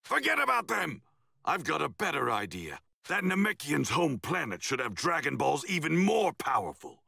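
A man playing an arrogant, cold alien villain prince in an anime game, with a raspy voice and a commanding manner. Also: a little high, wildly swooping pitch, normal speed, sharp tone, dry recording, studio quality, heavily acted. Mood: impatient, scheming. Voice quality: raspy, gravelly. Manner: haughty, commanding. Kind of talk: English anime game dub acting.

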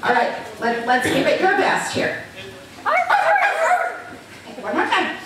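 A woman's voice: high-pitched, expressive, slow. A middle-aged woman giving informal, encouraging instructions to an audience as a stage performer, speaking into a handheld microphone. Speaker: talent show performer leading audience participation. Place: large church hall, indoors. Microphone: handheld microphone through PA, recorded by far camera. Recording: echoey hall, distant, noisy audience. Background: audience chatter and noise.

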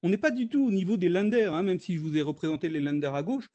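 A man's voice: sits high, fast, dark tone, dull audio. A French man, an economist, presenting in a video-call webinar, hesitant with many fillers and restarts. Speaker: economist guest speaker.

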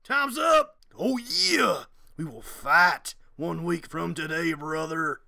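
High, gravelly karate bully played by a man. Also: expressive, takes it slowly, bright, forceful tone, exaggerated voice. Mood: loud challenge. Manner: taunting, swaggering. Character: cocky bullying karate club tough guy. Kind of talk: amateur anime parody dub.

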